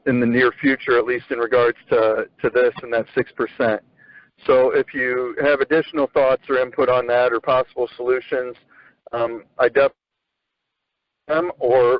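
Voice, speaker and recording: Man hosting a phone conference, halting delivery with restarts and fillers, mid-range voice, muffled line. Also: medium pitch, dry recording. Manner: halting, repeats words, many fillers. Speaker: host of an agricultural education conference call. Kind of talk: leading a conference call. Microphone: phone on a conference call. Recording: muffled conference-call audio.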